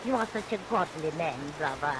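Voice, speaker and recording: A woman's voice: deep voice, animated, a little fast. A woman playing a scheming, fretful pie seller in a vintage radio play, coy and anxious in a theatrical delivery. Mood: flirtatious. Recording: hissy vintage radio recording with rain noise.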